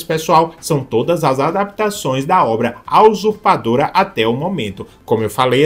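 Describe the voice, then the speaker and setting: A man's voice: medium pitch, a little hiss or hum. A Brazilian man hosting a video, narrating about soap operas in a chatty, explanatory, conversational way. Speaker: YouTube channel host.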